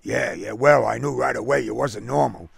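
A man's voice: medium pitch, gravelly, breathy edge, dry recording, clean studio sound. A man voicing a blunt, suspicious working-class cab driver and baseball fan with a slangy Brooklyn accent, in a told story. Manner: blunt, slangy, quick-fire.

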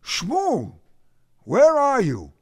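A patient, practical middle-aged family man, played by a male narrator in an audiobook. His plain talk moves up and down in pitch, with a dry, close sound. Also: big pitch swings, unhurried, vocal fry, no echo, full character voice. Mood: surprised. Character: mild middle-aged family man, patient, questioning older-style brother. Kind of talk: audiobook character dialogue.

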